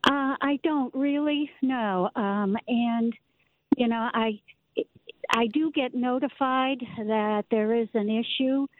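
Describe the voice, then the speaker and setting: A woman's voice: on the high side, takes it slowly. A woman from Chicago with a Chicago accent, calling in to a radio show, polite and hesitant, with fillers. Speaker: caller. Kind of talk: phone call to a radio show. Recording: tinny dry phone line.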